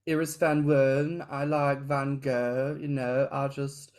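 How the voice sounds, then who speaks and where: A man's voice: mid-range voice, level tone, darkish tone. A man hosting a podcast, chatting casually and joking with his guests, often restarting his sentences. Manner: chatty, joking, quick to tease, frequent restarts. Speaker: podcast host.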